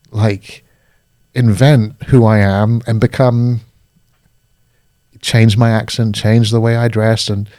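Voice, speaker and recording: A man's voice: mid-range voice, a little mellow, dry recording, studio quality. A man with a British accent, a coach talking reflectively as a guest in a casual podcast conversation, often searching for his words.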